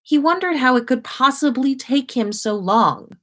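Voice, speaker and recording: Slow-paced woman reading a story aloud. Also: high-pitched, lively intonation, a bit bright. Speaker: podcast host reading listener fan fiction on a livestream. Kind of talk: expressive story narration.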